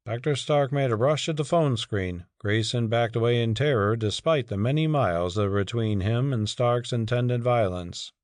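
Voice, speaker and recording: A man's voice: mid-range voice, normal speed, hardly any pauses, dry recording, clean studio sound. A man narrating a dramatic audiobook from a script.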